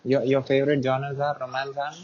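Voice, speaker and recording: A man's voice: medium pitch, completely flat delivery, brisk, a little mellow. A young man from India hosting an interview over a video call from outdoors, asking short, simple questions and often repeating them. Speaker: host. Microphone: earphone headset on video call. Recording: muffled call audio with faint noise.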